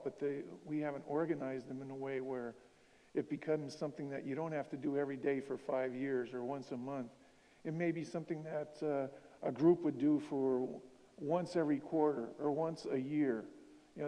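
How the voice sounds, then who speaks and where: A man organizing a church caregiver seminar, speaking earnestly and plainly with occasional pauses. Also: fairly high pitch, dark tone. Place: church meeting hall. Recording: echoey hall.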